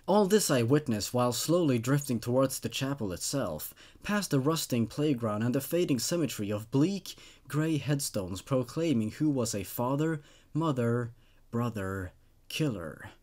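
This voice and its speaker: A man's voice: mid-range voice, animated, unhurried, darkish tone. Young man, non-native English speaker. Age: 20s. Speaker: YouTube host reading horror stories. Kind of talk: story narration and casual commentary. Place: small dark indoor room. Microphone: studio microphone on a boom arm, close.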